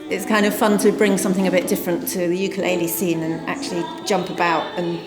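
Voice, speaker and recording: A woman's voice: on the low side, level tone, quick pace. A woman who performs comic music, talking casually in an interview. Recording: echoey room, music behind.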